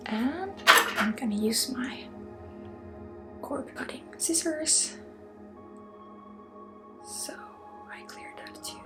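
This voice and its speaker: A woman who is a native Swedish speaker, giving an ASMR energy healing in an intimate, halting, casual way, slipping often between soft voice and breathy whisper. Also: sits high, expressive. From Sweden. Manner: casual, blunt, swearing, halting with asides. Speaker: spiritual healer and ASMR host. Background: music.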